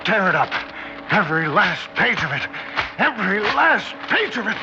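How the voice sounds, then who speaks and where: An actor playing a shaken, bewildered man recounting a horror in tense, halting phrases, in a 1960s radio drama. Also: sits high, sing-song. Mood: anguished disbelief. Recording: muffled vintage radio broadcast, music behind.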